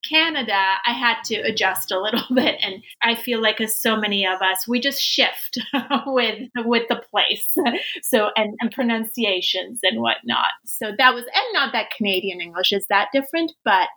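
明亮高亢的女性嘉宾，美国口音. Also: female voice, fairly high pitch, normal speed, rough recording. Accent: American. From Iran. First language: Persian. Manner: chatty and animated, laughs often. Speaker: cookbook author guest. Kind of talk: casual interview.

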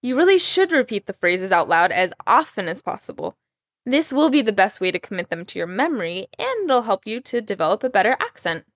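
A woman's voice: mid-range voice, very animated, fairly quick, fairly bright tone, no room sound. Young woman w/ a texas accent teaching on a podcast, voice goes up and down a lot, dry studio sound. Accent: American English, Texas. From Iran. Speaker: language teacher, podcast host. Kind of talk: scripted podcast lesson.

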